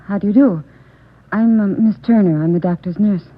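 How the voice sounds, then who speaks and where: An actress playing a polite, slightly hesitant American doctor's nurse in a mid-century radio drama. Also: female voice, medium pitch, normal speed, natural voice. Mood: courteous. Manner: courteous, hesitant. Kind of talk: 1940s-50s radio drama acting. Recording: tinny old radio recording.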